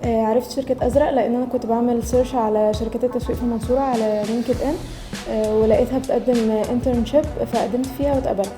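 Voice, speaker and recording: A woman's voice: fairly high pitch, fairly even intonation, very fast. A 25-year-old woman with an Egyptian accent, answering a testimonial hesitantly with many filler sounds. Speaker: intern. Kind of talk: testimonial interview. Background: music.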